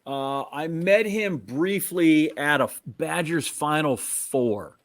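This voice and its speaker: An American man co-hosting a sports show, telling stories in a casual, halting way and often interrupting himself. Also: a little high, no echo. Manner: conversational, halting, self-interrupting storytelling.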